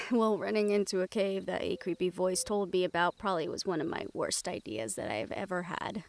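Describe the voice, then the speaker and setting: A woman's voice: fairly low pitch, normal speed, creaky. A woman playing a young monster hunter in an intimate audio roleplay, casual and sweary, wry, teasing and tender with a partner. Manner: casual, wry, sweary, breaks into soft chuckles. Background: music under the voice.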